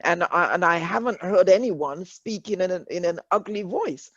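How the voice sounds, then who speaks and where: A woman's voice: fairly low pitch, moderate pace, no room sound. A woman with an English accent leading a workshop, earnest and reassuring, often repeating her points. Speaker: workshop facilitator. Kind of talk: encouraging workshop talk. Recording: dull lo-fi online call audio.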